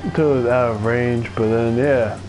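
A man's voice: medium pitch, fairly even intonation, normal speed, music in the background. A man chatting casually with a friend during a co-op game, mostly laid-back short replies. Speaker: co-op gaming partner. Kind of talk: casual gaming chat.

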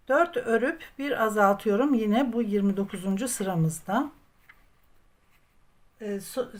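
A woman guides listeners through a handicraft step by step. Her delivery is slow and methodical, at a middling pitch. She explains patiently, like a tutorial host. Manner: patient, methodical instruction, counting aloud. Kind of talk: step-by-step crochet tutorial narration.

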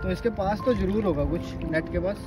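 A man vlogging while wading along a shore at night, chatting excitedly and a little nervous, filmed on a phone. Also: sits high, fairly quick, loud music behind the voice. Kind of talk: casual vlog commentary.

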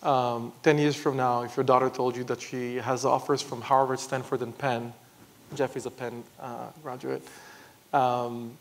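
A man in his thirties moderating an on-stage conference interview, piecing his questions together haltingly. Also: medium pitch, flat delivery. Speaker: moderator, technology executive. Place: large conference stage, seated in armchair. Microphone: clip-on lapel mic.

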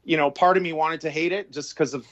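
A man's voice: fairly high pitch, steady tone, quick pace. A man in his forties with a Canadian accent, a film director speaking as a guest over a video call from a room at home, talking reflectively with many restarts. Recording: dull lo-fi video call audio.

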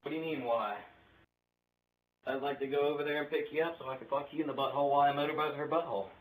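A man's voice: fairly high pitch, steady tone, fast. A young man making a casual prank phone call, rambling crudely in a hesitant way. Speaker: video creator making prank calls. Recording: roomy, distant mic with background noise.

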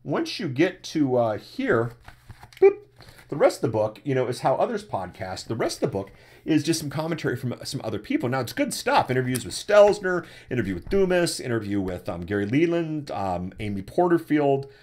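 A man in his forties with a deep voice, hosting a live webcast and answering chat questions about podcasting from his desk. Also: normal speed. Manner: animated, repeats words when starting a thought. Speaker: podcasting coach and webcast host. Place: indoors at a desk, webcam framing. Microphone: desktop USB microphone.

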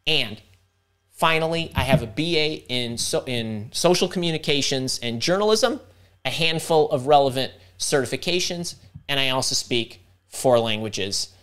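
A middle-aged man hosting a live career workshop over a video call, talking fast and interrupting himself as he thinks aloud. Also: mid-range voice, lively intonation, a bit bright, clear, resonant tone. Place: home room indoors. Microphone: video call webcam.

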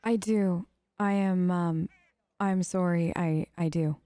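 A woman's voice: fairly low pitch, steady tone, slow pace, dark tone, no echo. Woman gamer, close and dry. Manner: informal, earnest, empathetic. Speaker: gamer, let's play host. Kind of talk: casual role-play game commentary, talking in character.

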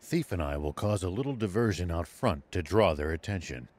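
An actor playing a stern, shrewd old sheriff in a video game, speaking in a measured, musing way. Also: male voice, low voice, lively intonation, darkish tone. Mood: confident, commanding. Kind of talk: video game cutscene acting.

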